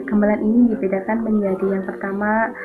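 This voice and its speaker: A woman's voice: mid-range voice, level tone, brisk. A woman teaching a lesson, explaining step by step in an orderly, didactic way. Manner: didactic, signposts each point in order. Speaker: history teacher. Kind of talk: explanatory history lesson for students. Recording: thin phone-like audio with music behind. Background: background music.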